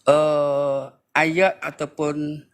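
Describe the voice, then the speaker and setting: A man's voice: on the high side, level tone, drawn-out words. A man in his fifties with a Malaysian accent gives informal political commentary, speaking emphatically with repeated phrases and rhetorical questions. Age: 50s. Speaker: panel speaker in a live political discussion room.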